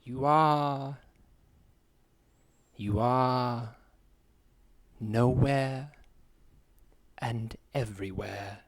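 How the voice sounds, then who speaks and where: A man playing a solemn hypnotist in an improvised comedy podcast, guiding a trance with hushed, portentous delivery and long dramatic pauses. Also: mid-range voice. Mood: solemn, hypnotic. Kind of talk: slow guided meditation, improvised comedy.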